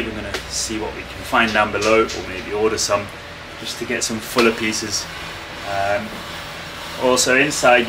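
A man in his thirties talks through his project to a camera held at arm's length, relaxed and explanatory, with plenty of small fillers. His voice sits in an ordinary middle register. A steady hum of machinery and air conditioning runs clearly under the camera audio. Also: medium pitch. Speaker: boat restoration vlogger. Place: open-sided wooden workshop. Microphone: handheld camera at arm's length.

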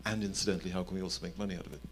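A man's voice: low-pitched, steady tone, rapid-fire. Middle-aged man explaining on a panel. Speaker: panelist, company chief executive. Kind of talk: panel discussion talk, explaining. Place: indoor conference event on a stage. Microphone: handheld microphone.

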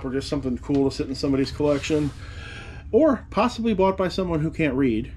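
A man's voice: mid-range voice, darkish tone, a little hiss or hum. A man in his forties, a reseller vlogger, talking to the camera from behind a desk in a cluttered room, with a wry, rambling manner. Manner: chatty and wry, rambling asides. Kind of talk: casual talk to camera. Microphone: camera in front of him at the desk.

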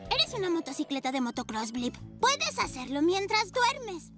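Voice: very high-pitched, big pitch swings, moderate pace, heavily acted. An actor voicing a cheeky, boisterous child motorbike in a children's cartoon, playful and exclamatory, with music behind. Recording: music under the dialogue.

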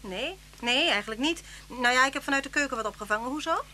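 A woman's voice: high voice, animated, fast talker, pushed and bright. An actress playing a hospitable, chatty woman in a naturalistic radio drama. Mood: puzzled. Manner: chatty, solicitous. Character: hospitable, chatty woman living alone in cottage. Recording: noisy old radio drama recording.

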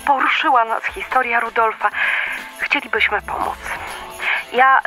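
An actress playing a kindly, helpful listener phoning a radio show in a Christmas play, earnest and modest. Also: female voice, on the high side, a little slow, sharp tone, soft background music, low-quality recording.

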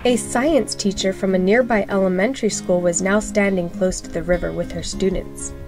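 A woman narrates a children's storybook at an average pitch. Her read-aloud delivery is expressive and clearly phrased for young listeners, with faint music behind her. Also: moderate pace.

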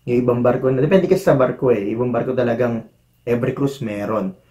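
A man's voice: mid-range voice, fast, mellow. A man in his thirties with a Filipino accent, giving encouraging career advice to the camera while seated at a desk in a small room. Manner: earnest, encouraging, gestures with hands while talking. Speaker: vlogger, former cruise ship chef. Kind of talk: casual advice vlog to camera. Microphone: camera in front at desk distance.

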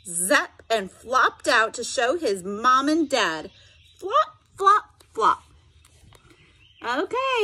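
Slow, high-voiced woman reading to students. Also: slightly rough. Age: middle-aged. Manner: expressive, instructive, addresses listeners directly. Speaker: special education teacher reading aloud. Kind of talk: picture-book read-aloud with asides to students.

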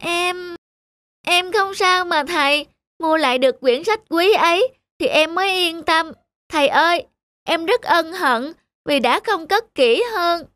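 A woman's voice: very high-pitched, measured pace, fairly bright tone, no room sound. A woman voicing a polite, earnest, bookish little boy in a children's cartoon dub, speaking respectfully. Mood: apologetic.

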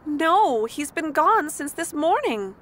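Kindly young cartoon mechanic: very high, hugely animated, caring and expressive, music underneath. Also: female voice, slow, full character voice. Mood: worried. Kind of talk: children's animated series acting.

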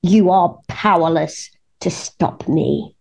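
A woman's voice: fairly low pitch, slow, creaky, darkish tone, slightly rough, full character voice. A woman playing a cold, gloating villainous queen in a radio pantomime, haughty and menacing. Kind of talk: local radio pantomime acting.

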